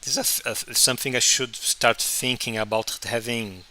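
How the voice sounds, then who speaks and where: A man whose first language is Portuguese, chatting casually on a live stream while he draws, halting and restarting often. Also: medium pitch, steady tone, sharp tone, rough recording. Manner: halting, many restarts and fillers. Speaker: comic artist streaming his drawing.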